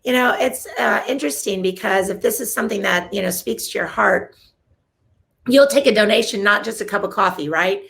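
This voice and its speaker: A woman hosting a casual interview show, enthusiastic and full of praise, repeating her words when excited. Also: mid-range voice, a little fast, creaky. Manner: enthusiastic, gushing, repeats "love". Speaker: host, realtor.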